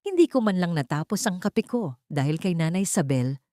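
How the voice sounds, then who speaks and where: A woman's voice: on the low side, very animated, brisk, mellow, dry recording, clean studio sound. A woman voicing a complacent, belittling husband in an audio drama, casual and condescending, mixing in English. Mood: breezy, dismissive.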